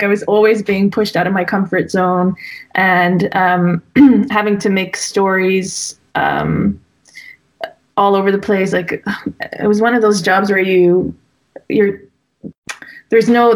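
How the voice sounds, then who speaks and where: A young woman speaks as a guest in a relaxed interview and rambles with fillers and restarts. Her voice sits at a middle pitch. She talks informally and thinks aloud. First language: Dutch. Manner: talkative, full of fillers and restarts. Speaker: guest, wedding and family photographer. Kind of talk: casual interview conversation.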